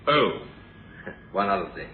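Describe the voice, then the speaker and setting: An actor playing a stern, courteous police inspector in a suspense radio drama, formal and dry. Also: male voice, low-pitched, sing-song, slow, full character voice. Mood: formal, cautionary. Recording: noisy phone-like vintage radio recording.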